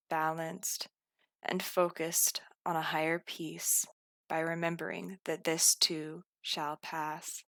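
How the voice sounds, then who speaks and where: A woman guiding a scripted meditation in a calm, soothing, deliberate manner. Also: lowish voice, no echo, studio quality. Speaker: meditation guide.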